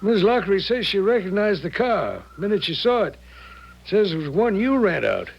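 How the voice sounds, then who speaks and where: High, creaky small-town sheriff. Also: male voice, sing-song, exaggerated voice. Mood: matter-of-fact. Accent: American. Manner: folksy, deliberate, polite. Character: plain-spoken, methodical small-town sheriff. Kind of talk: 1970s radio drama acting. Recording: muffled 1970s radio drama broadcast.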